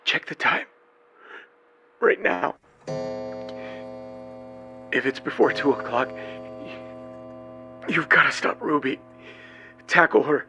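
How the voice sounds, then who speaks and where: An actor playing a frantic everyman husband in a dark comedy audio drama, halting and agitated as he talks. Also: mid-range voice, lively intonation, gravelly, faint music behind, exaggerated voice. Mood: urgent, grim. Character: frantic everyman husband fielding and leaving eerie phone messages.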